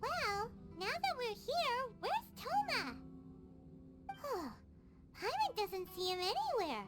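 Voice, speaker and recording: A tiny floating fairy sidekick in a video game, with an extremely high, childlike squeak. The voice bounces around excitably and indignantly over prominent music. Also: female voice, slow pace, full character voice. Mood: curious. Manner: refers to self in third person, exclamatory. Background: background music.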